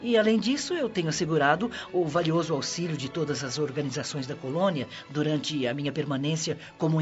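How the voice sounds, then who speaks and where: A woman's voice: low-pitched, close to an everyday voice. A woman playing a wise, motherly spirit in a radio drama, serene and dignified. She has a Brazilian accent and explains patiently. The sound is dull, over a bed of music. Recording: dull lo-fi audio under music.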